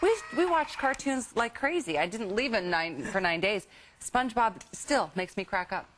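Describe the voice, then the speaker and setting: A woman hosting a home shopping show, chatting in a gushing, enthusiastic way as she pitches products live. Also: animated, moderate pace, noisy background. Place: TV shopping studio. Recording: music behind the voice.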